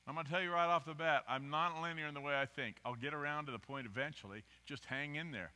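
An older man preaching a rambling, self-deprecating storytelling sermon at a podium microphone in a church sanctuary, swinging between loud emphasis and quiet asides. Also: fairly high pitch, fast talker. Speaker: guest preacher. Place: church sanctuary, large indoor room. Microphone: podium microphone, filmed from the pews. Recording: reverberant church hall.

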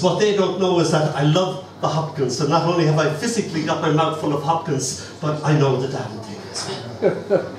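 An older man with an Irish accent, hosting a poetry open mic with theatrical, rambling storytelling. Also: a little high. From Ireland. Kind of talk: live storytelling and poetry performance. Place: small indoor venue stage. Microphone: far camera. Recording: roomy, noisy, distant camera recording.

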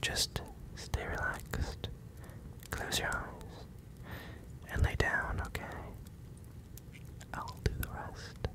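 A man voicing a calm, coaxing werewolf captor in an ASMR roleplay, close to the microphone, with soothing, slightly hesitant instructions. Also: extremely slow, pauses often. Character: male werewolf captor, coaxing and calmly in control.

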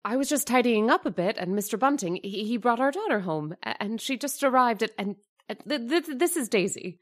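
A woman's voice: fairly high pitch, lively intonation, fairly quick. An actress playing a frightened middle-aged landlady and mother who narrates her suspicions and stammers when flustered, in a radio drama. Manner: nervous, stammering.

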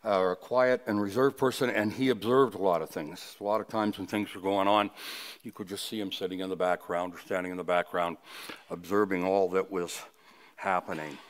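Low-pitched older man giving a funeral sermon. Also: fairly even intonation, brisk. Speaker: funeral officiant, preacher. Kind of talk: funeral sermon with personal reminiscence. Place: indoors at a funeral home, at a wooden lectern. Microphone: lectern microphone.

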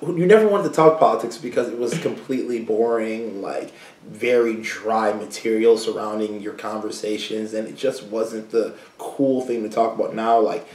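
A young man co-hosting a casual chat, animated and slangy, laughing easily. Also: on the low side. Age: young adult. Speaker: co-host. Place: small room indoors, seated side by side. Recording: roomy echo, mic at a distance.

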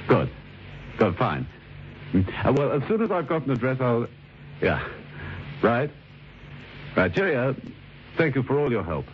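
An actor playing a scheming, wealthy middle-aged husband with a hesitant manner in an old radio drama. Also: male voice, mid-range voice, very animated, measured pace, long pauses. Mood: falsely anxious. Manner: stammering, hesitant when feigning concern. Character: scheming forty-year-old wealthy husband, smug and falsely anxious. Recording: muffled, noisy old radio recording.

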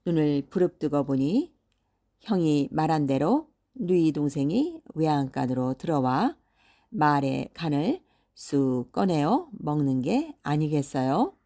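A woman storyteller narrating a scripted bedtime folktale in a measured, deliberate way. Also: low-pitched, sing-song, mellow.

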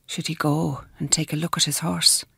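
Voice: medium pitch, little pitch movement, moderate pace, vocal fry, pushed and bright, breathy edge, no room sound. A narrator delivering scripted narration for a radio drama.

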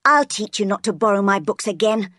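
A woman's voice: medium pitch, fairly quick, fairly bright tone, dry recording, studio quality, close to an everyday voice. A woman voicing an arrogant, spoiled young rich boy in a storybook, haughty and accusing. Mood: haughty, bullying.

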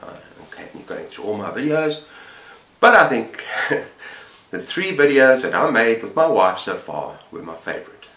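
A middle-aged man chatting casually to camera as a book vlogger, speaking haltingly with short pauses. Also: medium pitch, big pitch swings, sharp tone. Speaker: book vlogger, channel host. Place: book-lined room at home, indoors. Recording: roomy, echoing indoor sound.

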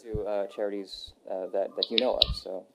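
A young adult man answering interview questions in a relaxed, rambling way, with many fillers and restarts. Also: lowish voice, moderate pace, very noisy. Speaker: voice actor guest. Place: Texas.

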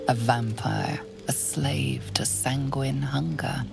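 A woman playing a solemn, ominous storyteller in a fantasy video game, speaking in a measured, hushed way. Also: rumbling low, steady tone, creaky, music in the background, heavily acted. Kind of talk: scripted game narration.

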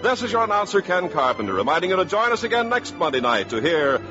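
Animated American 1950s radio announcer, higher-pitched man. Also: fast talker, over music. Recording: dull 1950s radio broadcast recording.

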